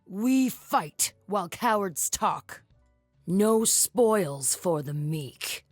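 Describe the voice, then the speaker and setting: A fierce warrior queen of a frozen land for a video game. She issues grave, commanding battle declarations at a deliberate pace, her pitch swinging widely. Music plays faintly underneath. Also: expressive, a little mellow, no echo. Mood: stern, resolute. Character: fierce, stern warmongering female war chief of the frozen north. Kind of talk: video game character voice lines.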